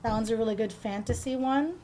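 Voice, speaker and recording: A woman's voice: a little high, quick pace, dark tone. A woman from the Philippines chatting informally as she answers questions as a guest on a casual podcast.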